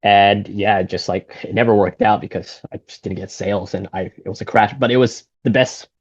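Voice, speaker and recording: A man's voice: fairly low pitch, fairly quick, slightly rough, no room sound, highs cut off. A man in his thirties from Singapore with an American accent, chatting casually and rambling a little as an interview guest. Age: 30s. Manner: relaxed, rambling, frequent fillers and restarts. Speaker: guest, golf shop owner.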